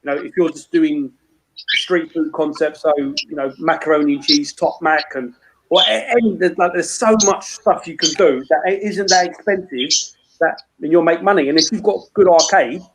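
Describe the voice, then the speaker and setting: A man's voice: on the high side, moderate pace, a little hiss or hum, low-quality recording. A man, hosting a rambling, casual video-call chat and swearing freely. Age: 30s. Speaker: host.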